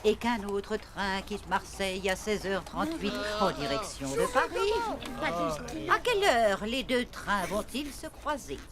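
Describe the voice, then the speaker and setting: A woman playing a stern, matter-of-fact schoolteacher in a cartoon, instructing in a measured manner. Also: medium pitch, sing-song, over a noisy crowd. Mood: stern, instructive. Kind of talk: French animated series acting.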